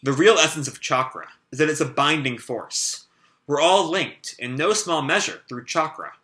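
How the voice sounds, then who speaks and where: A man reading aloud a comic, voicing a kind, fatherly adult male leader in a gentle, reassuring way. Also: fairly high pitch, normal speed.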